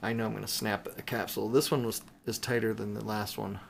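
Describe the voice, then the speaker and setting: A man's voice: lowish voice, level tone, quick pace, vocal fry, a little hiss or hum. A man chatting casually and unscripted as a video host showing off items, rambling with mildly playful exclamations. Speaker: video host showing silver bullion purchases. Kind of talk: casual unscripted show-and-tell chat.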